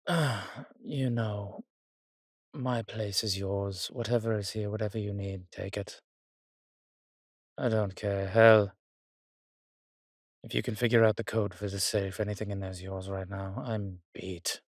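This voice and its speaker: A man acts as a darkly charming, world-weary vampire in an intimate roleplay audio. His voice sits low and he speaks very slowly, in measured, courtly phrases. The recording is close and dry, addressed softly to a single listener as a fond protector. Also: steady tone, no echo. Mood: weary, tender.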